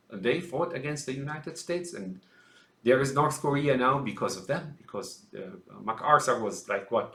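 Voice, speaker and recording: A man's voice: medium pitch, fairly bright tone, echoey room. Rambling man from Syria, emphatic. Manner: rambling, emphatic, addresses listeners as folks. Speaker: commentator. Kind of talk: ranting political monologue.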